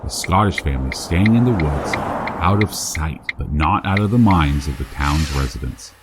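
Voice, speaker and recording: A man playing a true-crime podcast host, delivering scripted narration in a measured, deliberate way. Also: very deep voice, lively intonation, heavy background noise. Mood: grave, measured. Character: male true-crime podcast host, solemn small-town investigator.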